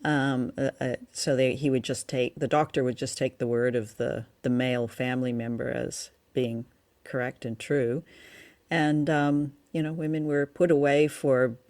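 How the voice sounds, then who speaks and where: A woman's voice: rumbling low, little pitch movement, moderate pace, darkish tone, dry recording. A woman co-hosting a radio show, chatting about old drama and often searching for her words. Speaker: radio show and podcast co-host.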